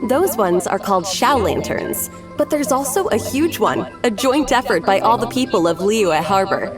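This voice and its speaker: A woman's voice: medium pitch, animated, normal speed, close to an everyday voice. A woman voicing a friendly young festival organizer in a video game, explaining things in a welcoming, informative way. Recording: voice a bit distant. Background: game music.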